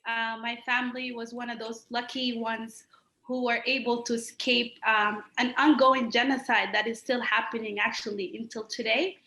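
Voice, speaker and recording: Bright, echoey woman from Sudan. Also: fairly high pitch, little pitch movement, normal speed. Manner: earnest, many uh fillers. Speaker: interview guest, human rights activist. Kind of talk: personal story in an interview. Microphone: video call.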